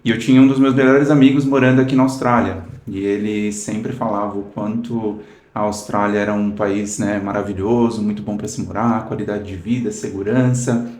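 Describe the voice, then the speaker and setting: A low-pitched Brazilian man in his thirties speaks in an earnest, storytelling manner, peppered with "né". His voice carries a noticeable room echo. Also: fairly even intonation, moderate pace, a little mellow. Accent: Brazilian Portuguese. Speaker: guest. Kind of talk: casual interview chat.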